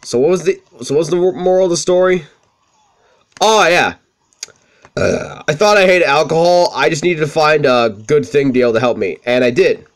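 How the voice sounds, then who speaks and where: A young man with a high-pitched voice, swearing freely as he tells rambling stories over gameplay. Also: expressive, moderate pace. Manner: profane, rambling, self-deprecating. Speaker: let's play commentator. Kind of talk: casual gameplay commentary with storytelling.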